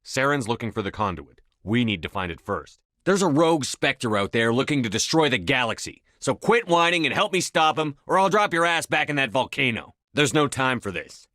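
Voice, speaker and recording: A man's voice: mid-range voice, sing-song, fairly quick, hardly any pauses, sharp tone, dry recording, studio quality. A man playing a resolute, authoritative starship commander in a video game, speaking in a terse, controlled, commanding way. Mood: steady, determined, then forceful, urgent. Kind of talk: video game dialogue acting.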